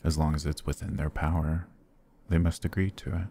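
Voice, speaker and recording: A man voicing a courtly, gentle vampire lord in a fantasy ASMR roleplay, speaking in a formal, measured, soft-spoken way. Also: flat delivery. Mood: solemn, measured. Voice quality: husky, low.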